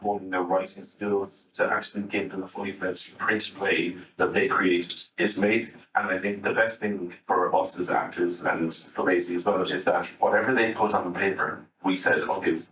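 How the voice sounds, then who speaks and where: A man's voice: low voice, fairly even intonation, moderate pace, few pauses, a bit bright, recorded from a distance. A man, an actor, talking about his work in an interview clip replayed in a room. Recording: video played back in echoey room.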